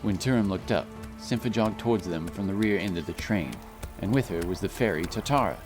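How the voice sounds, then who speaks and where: A man with a low voice narrates a fantasy adventure. The delivery is scripted, dramatic storytelling, set against prominent music. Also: brisk.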